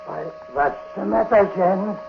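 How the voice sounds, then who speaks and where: An actor playing a taciturn, wary old uncle in a 1940s radio drama. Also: male voice, high-pitched, takes it slowly, creaky, exaggerated voice. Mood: concerned. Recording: muffled old radio, loud music or hum behind.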